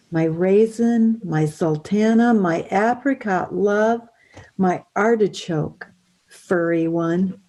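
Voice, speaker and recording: A woman reading a poem aloud in a measured style. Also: on the low side, mellow, low-quality recording. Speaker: reader.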